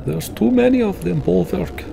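Man putting on an alarmed, high-pitched woman fighter. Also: lively intonation, moderate pace, creaky, background noise, heavily acted. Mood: alarmed, exhausted. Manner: urgent yelling. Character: exhausted, alarmed woman fighter yelling for rest.

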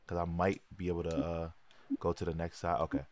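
A man with a slight lisp chatting casually while playing a video game with a friend, excitable and swearing at the screen. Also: low voice, fast talker, no room sound. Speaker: gamer. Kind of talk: casual co-op gameplay chat. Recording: music playing behind.

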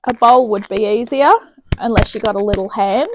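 A woman's voice: medium pitch, normal speed, background noise. A woman from New Zealand hosting a home cooking video, chatting casually as she works in her kitchen and talking herself through each step. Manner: chatty, homely, thinks aloud while cooking. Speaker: home cooking channel host. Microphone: camera mic in the kitchen, off to the side.

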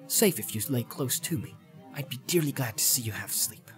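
A man voicing an earnest, loyal young hobbit servant in an audiobook, talking plainly and often hushed, with music underneath. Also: medium pitch, lively intonation, moderate pace, lightly acted. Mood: hushed, tender.

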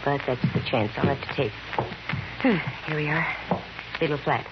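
A woman's voice: sits low, moderate pace, close to an everyday voice. An actress playing a sassy, wisecracking female private eye in a 1950s radio detective drama, with a wry, slangy delivery. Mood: cool, determined. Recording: muffled, very hissy old radio recording.

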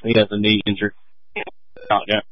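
A man's voice: lowish voice, completely flat delivery, fairly quick, dry recording. Muffled man commanding over fire radio. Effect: two-way radio. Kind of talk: radio traffic at a fire scene. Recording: muffled two-way radio transmission.

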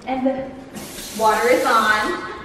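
A woman's voice: a little high, steady tone, takes it slowly, sharp tone, far from the mic, a little hiss or hum. A woman in her twenties giving a chatty, playful apartment tour vlog, recorded on a camera mic. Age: 20s. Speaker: vlogger. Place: empty apartment with bare walls, indoors. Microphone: camera mic, handheld and at a distance. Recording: echoey empty rooms, camera held away.

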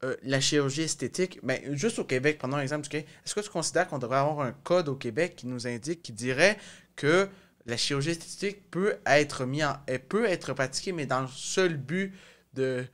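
A man's voice: fairly high pitch, a little fast, fairly bright tone. A young man with a Québécois French accent hosting a casual discussion, rephrasing as he searches for his wording, on a studio mic. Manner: searching, roundabout, repeats and rephrases. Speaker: podcast host. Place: small indoor podcast studio. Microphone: close studio microphone.

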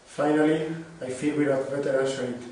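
A man's voice: medium pitch, steady tone, fairly quick, darkish tone, close to an everyday voice. A man with a Spanish accent playing a wisecracking, self-mocking young man in an amateur script reading. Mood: storytelling. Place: wooden-floored room indoors. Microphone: far camera. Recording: echoey room, distant mic, faint noise.

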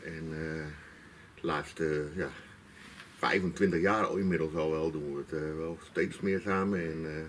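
A man's voice: a bass voice, fairly even intonation, moderate pace, a bit bright, a little hiss or hum. A man in his fifties with a Dutch accent, a pigeon breeder answering interview questions in a plain, matter-of-fact way. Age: 50s. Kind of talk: casual interview. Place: outdoor patio of a house.

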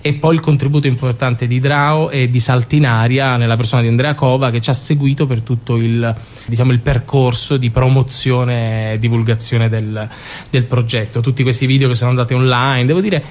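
A man's voice: mid-range voice, steady tone, fairly quick, a little mellow. A man from a theatre company speaking conversationally as a guest in a radio interview.

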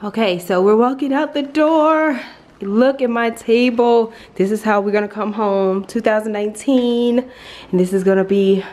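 A woman's voice: medium pitch, dark tone. A woman vlogger chatting and gushing as she walks through her apartment, filming with a handheld camera. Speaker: lifestyle vlogger. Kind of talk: casual home tour vlog. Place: apartment indoors. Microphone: handheld compact camera mic.

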